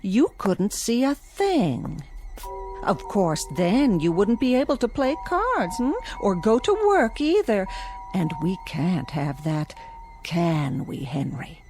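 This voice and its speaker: A cruel middle-aged American wife who nags and domineers with icy mock politeness. Her mid-range voice swings widely in pitch as she delivers cutting lines, in a radio drama with clear music underneath. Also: big pitch swings, slow pace. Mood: coldly menacing. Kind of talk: scripted radio drama acting.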